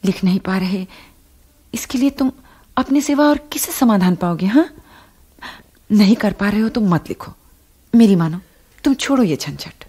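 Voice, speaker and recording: A concerned wife in a radio play. Her voice is mellow, husky and breathy, and she is soft yet frank. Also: mid-range voice, lively intonation, normal speed, pauses often. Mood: frank, protective. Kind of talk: Hindi radio drama acting.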